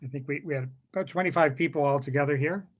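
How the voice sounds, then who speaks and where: A man's voice: a little high, quick pace. A man casually hosting a meeting over a video call. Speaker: meeting host. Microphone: video call microphone.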